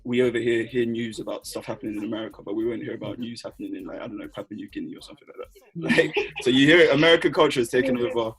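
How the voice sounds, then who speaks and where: A man's voice: medium pitch, rough recording. A young man hosting a podcast, chatting casually and retelling stories with slang. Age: young adult. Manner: fast, animated, retells friends' quips with slang. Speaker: podcast host. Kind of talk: casual chat.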